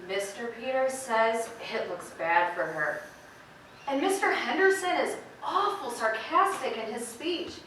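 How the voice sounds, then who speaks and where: Heard across a very echoey stage with faint noise, this is a timid sheriff's wife, acted by a woman. Her high voice moves in lively swings while staying polite and hesitant. She sounds dutiful and anxious about rules, as in a live amateur play. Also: measured pace, recorded from a distance. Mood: uneasy. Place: indoor theater stage, distant microphone.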